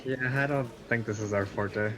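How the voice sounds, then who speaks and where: A man's voice: fairly low pitch, moderate pace, a crowd in the background, close to an everyday voice, poor audio. A young man playing a sarcastic brother in an amateur online drama, with a scoffing manner. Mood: teasing.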